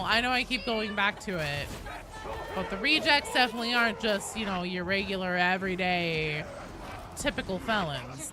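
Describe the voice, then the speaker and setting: Animated, foul-mouthed woman live-streaming, noisy background. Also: mid-range voice. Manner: profane, joking, slangy. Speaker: game streamer. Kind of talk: casual live-stream chat while playing a game.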